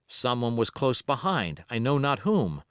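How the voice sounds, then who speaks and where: A man narrating an adventure novel as an audiobook, in an expressive, measured, dramatic storytelling style. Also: medium pitch, clear, resonant tone, dry recording, studio quality.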